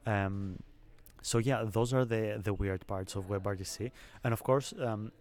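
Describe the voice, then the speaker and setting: A young man from Greece, a software engineer, calmly explaining technical work in an interview. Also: on the low side, takes it slowly. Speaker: software engineer, conference speaker. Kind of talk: interview answers.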